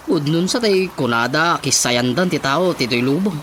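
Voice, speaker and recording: A man's voice: a little high, expressive, normal speed, fairly bright tone, a little hiss or hum. A man with an Ilocano accent playing a worried, chatty villager in a radio drama.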